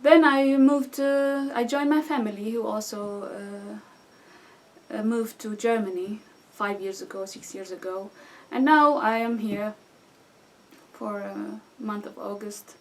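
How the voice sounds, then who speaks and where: Syrian woman in her thirties, distant mic. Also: medium pitch. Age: 30s. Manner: halting non-native English with restarts and fillers. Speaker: guest. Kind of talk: conversational interview answers. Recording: distant mic with faint background noise.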